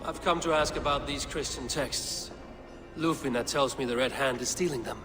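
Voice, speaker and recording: An actor playing a bold, blunt young Viking warrior in a video game, with a commanding manner. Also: male voice, medium pitch, moderate pace, music in the background, natural voice. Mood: measured, probing.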